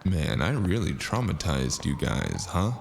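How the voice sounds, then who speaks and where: A man acts out a cynical noir mercenary who narrates his own tale. His voice sits low with a gritty rasp of fry, and his delivery is sardonic and deadpan. A little noise sits behind him. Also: a little slow, exaggerated voice. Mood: exasperated. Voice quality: deep and gravelly. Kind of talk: noir audio drama acting and narration.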